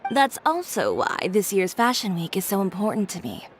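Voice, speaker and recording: An actress playing a poised, businesslike adult fashion designer with a composed, dry manner. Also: female voice, medium pitch, big pitch swings, normal speed, a little hiss or hum, lightly acted. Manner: composed, dry, matter-of-fact. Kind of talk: video game voice acting. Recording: game audio with loud music.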